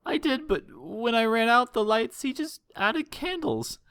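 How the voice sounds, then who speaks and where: A man voicing a distraught mother pony in a fan fiction audiobook, halting and breathy as the character pleads for their child through sobs. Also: extremely high pitch, big pitch swings, fairly bright tone, dry recording, studio quality, pitched above the performer's own voice, full character voice. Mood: tearful, grieving. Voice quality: breathy, trembling. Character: distraught, tearful mother pony pleading for her missing son.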